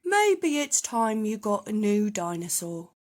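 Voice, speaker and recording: Woman as a kindly, measured daddy pig. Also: medium pitch, sing-song, a little mellow. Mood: gently cautioning. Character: kindly, cautious father pig in a children's story.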